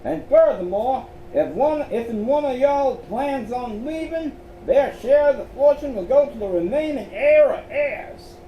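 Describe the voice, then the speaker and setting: A man's voice: very high voice, lively intonation, measured pace, few pauses, dull audio, pitched above the performer's own voice. A man voicing an eccentric old male colonel from the American South, speaking from a phonograph record in a drawling, theatrical way with a Southern accent. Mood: insistent. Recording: echoey room, faint noise.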